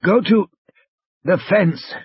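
A man voicing a weak, wry, bedridden injured man, speaking softly and haltingly with a wheeze. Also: sits high, husky, no room sound, muffled recording, voice pushed up, exaggerated voice. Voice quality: soft, wheezy. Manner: halting, terse.

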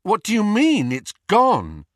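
A man's voice: on the high side, very animated, a little slow, pushed and bright, no echo, studio quality, pitched above the performer's own voice, heavily acted. A man voicing a teenage boy wizard who speaks fiercely and demandingly in an audiobook. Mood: urgent. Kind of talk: audiobook character voice.

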